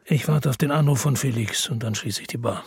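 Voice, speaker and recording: An actor playing an embittered, defiant noir hero in a German radio drama, speaking in a terse, grim, weary way. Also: male voice, mid-range voice, brisk, breathy edge, no echo, clean studio sound. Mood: guarded, grim. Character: embittered, defiant noir hero telling his own story. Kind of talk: German radio drama acting and first-person narration.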